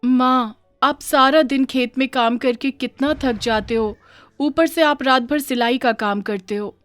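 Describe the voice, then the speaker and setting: Grown woman doing a little village girl, high n pleading, cartoon story, faint music behind. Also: a little slow, a bit bright, no echo. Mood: worried, caring. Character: young village daughters, earnest, pleading, worried girls. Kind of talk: Hindi moral story cartoon voice acting.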